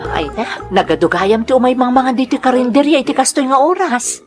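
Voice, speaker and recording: A woman's voice: high-pitched, brisk, over loud music, natural voice. A woman playing an earnest, eager middle-aged worker in an audio drama, with an Ilocano Filipino accent. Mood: matter-of-fact. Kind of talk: radio-style drama acting.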